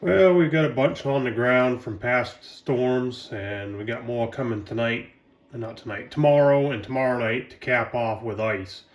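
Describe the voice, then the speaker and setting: A man's voice: mid-range voice, normal speed. Male ham operator, casual, rambling radio chat, light background hiss. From Maine. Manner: chatty, rambling, relaxed.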